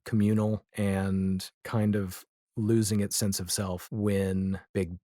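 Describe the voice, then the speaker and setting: A man talking casually as a podcast guest, animated and rambling, with a self-deprecating streak. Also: fairly low pitch, slow pace, no echo, clean studio sound. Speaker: podcast guest, audio drama creator. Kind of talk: casual podcast interview chat.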